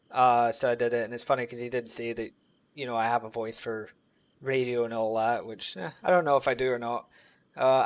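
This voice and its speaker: Rambling man chatting on stream. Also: medium pitch. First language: English. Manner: rambling, relaxed, heavy on filler words. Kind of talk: casual chat with a live audience while gaming.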